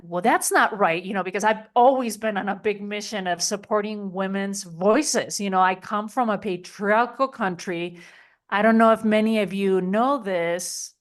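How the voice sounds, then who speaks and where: A middle-aged woman from Spain, a podcast guest talking in a chatty way with many "like" and "you know" fillers. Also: mid-range voice. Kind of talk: interview conversation.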